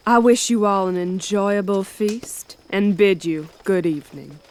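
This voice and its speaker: Woman playing a slow, haughty elven knight commander. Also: mid-range voice, a little mellow, close to an everyday voice, rough recording. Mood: haughty, controlled. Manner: measured, formal, imperious. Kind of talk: fantasy audio drama acting.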